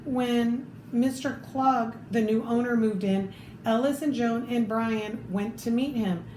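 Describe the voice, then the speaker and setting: A woman reading a children's picture book aloud expressively, pausing to add her own comments. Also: fairly high pitch, mellow. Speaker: storybook reader. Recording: echoey room with faint background noise.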